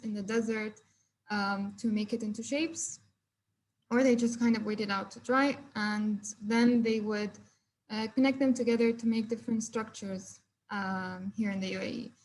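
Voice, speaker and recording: A young woman from Egypt presents her design work over a video call. She talks with plenty of ums and restarts, at a medium pitch, with a faint hiss of noise behind her. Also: steady tone, moderate pace. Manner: hesitant, many fillers and restarts. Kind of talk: online presentation with shared screen.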